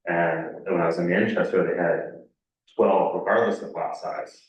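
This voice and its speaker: A very echoey, distant recording of an American man with a low voice. He gives plain-spoken, halting public comment as a town resident. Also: steady tone. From Connecticut, United States. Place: indoor public meeting room. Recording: very echoey meeting room, distant muffled mic.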